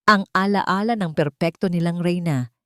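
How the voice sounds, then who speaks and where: A woman with a Filipino accent voicing a vindictive, hot-tempered young mistress in an audio story, sharp and menacing. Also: fairly low pitch, moderate pace, no room sound, clean studio sound, close to an everyday voice. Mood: cold, menacing.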